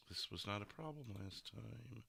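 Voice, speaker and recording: Animated, bright-voiced man hosting a stream. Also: lowish voice, vocal fry, slight background noise. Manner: animated, playful, sings and imitates sounds. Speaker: livestream podcast host. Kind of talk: casual joking chat.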